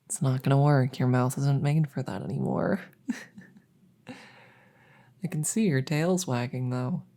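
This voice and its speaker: A woman playing a kindly, rambling vet in an ASMR audio roleplay, explaining things softly and hesitantly with lots of reassurance. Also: extremely low pitch, steady tone, a little slow. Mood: amused, informative. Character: kindly, rambling female vet for supernatural patients. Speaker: voice roleplay performer.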